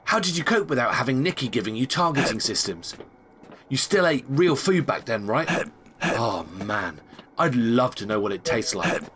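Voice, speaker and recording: A man's voice: medium pitch, noisy background, close to an everyday voice. A man playing an enthusiastic, chatty space agent in a comic sci-fi audio drama. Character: eager, chummy male space agent, family man.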